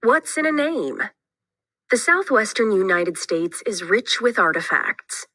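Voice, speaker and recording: Bright-voiced woman reading a lesson aloud. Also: medium pitch, very animated, unhurried, dry recording. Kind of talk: scripted educational read-aloud narration.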